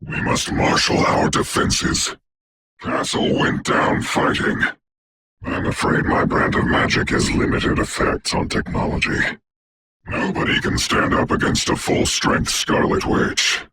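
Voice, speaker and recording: A man playing a grave, commanding dark sorcerer for a video game, with a breathy rasp, formal grandiose diction and eerie distorted processing. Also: measured pace, background noise. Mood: solemn. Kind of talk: video game hero voice lines.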